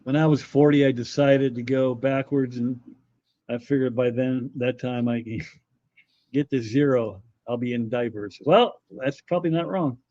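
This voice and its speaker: A man's voice: mid-range voice, normal speed, warm tone, no echo. A middle-aged man hosting a casual livestream, rambling and joking as he reads and answers viewer comments. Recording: dull, slightly muffled audio.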